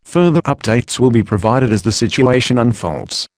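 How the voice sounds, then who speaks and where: A man with a mid-pitched voice reads an official emergency alert. His delivery is formal and measured, like a scripted public warning. The sound is dry and close, with no room echo. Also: expressive, darkish tone. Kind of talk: scripted emergency broadcast announcement.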